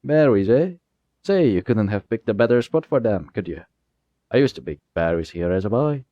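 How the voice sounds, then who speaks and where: A man doing a fussy old gentleman driver. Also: fairly low pitch, expressive, moderate pace, no room sound, close to an everyday voice. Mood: genial. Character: older well-dressed male carriage driver, nervous. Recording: dull lo-fi recording.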